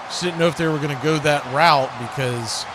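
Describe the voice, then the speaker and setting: A man calls a football game live over clear background noise. He talks conversationally, moving between play calls and his own take on the action. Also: fairly high pitch, brisk, crowd noise. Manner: conversational, mixes calls with opinion. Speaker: play-by-play announcer for a fan watch-party stream. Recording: clearly noisy live stream audio.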